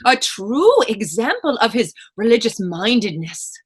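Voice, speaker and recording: Woman acting a high, defiant witch. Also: very animated, unhurried, husky, exaggerated voice. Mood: taunting. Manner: proud, taunting, folksy dialect. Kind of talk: stage readers theater acting.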